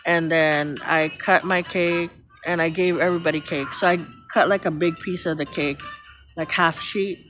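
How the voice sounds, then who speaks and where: A woman's voice: on the low side, steady tone, moderate pace. A middle-aged woman from the Philippines with a Filipino accent, chatting casually on a live stream, rambling through stories and thanking viewers by name. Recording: slightly muffled stream audio with music. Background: background music.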